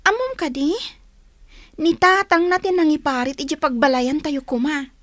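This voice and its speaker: A woman's voice: sits high, moderate pace, a bit bright, no room sound, clean studio sound. A woman playing a young older sister, teasing and concerned, in a radio drama.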